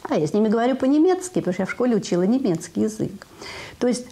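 An older woman giving an interview indoors, telling anecdotes in a lively way that speeds up as she goes. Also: a little high, racing. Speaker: interviewee, former actress. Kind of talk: interview recollection. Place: indoor room with lamp.

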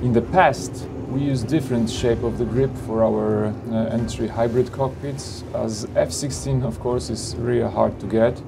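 A man's voice: medium pitch, steady tone, a little slow, gravelly, hardly any pauses, noisy background. A man presents flight simulator cockpits in an upbeat, salesy way, often adding "you know" and "of course". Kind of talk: product showcase narration. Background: background music.

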